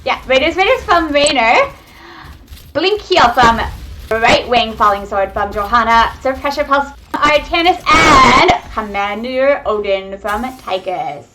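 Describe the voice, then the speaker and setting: A young woman giving excitable, chatty live commentary on a video game match, talking to her viewers from home on a webcam microphone. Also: on the high side, measured pace. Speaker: esports caster. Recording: webcam mic with faint noise.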